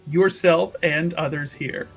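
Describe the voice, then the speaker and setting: A man's voice: fairly high pitch, fairly even intonation, takes it slowly, creaky, a bit bright, husky, faint music behind, exaggerated voice. A man playing a humble, devout sister in a fantasy tabletop game, polite and formal in speech. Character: humble, devout religious sister, soft-spoken woman.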